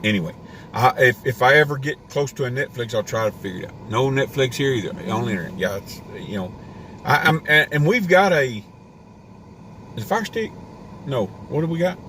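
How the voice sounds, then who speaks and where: A man's voice: mid-range voice, lively intonation, a little fast, bright, forceful tone, slight background noise. A man hosting a homesteading live stream from inside a parked car, rambling in a casual rant with a worn voice. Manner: ranting, rambling with restarts. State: voice getting worn.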